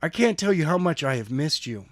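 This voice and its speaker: A man's voice: a little high, sing-song, fairly quick, no room sound, clean studio sound. A man playing an emotional young martial arts student in a story, exclaiming urgently. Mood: tearful shock.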